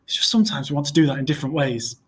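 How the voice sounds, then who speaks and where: A man's voice: on the high side, animated, racing, natural voice. A man voicing a protective, joking father in a storybook. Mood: protective, earnest.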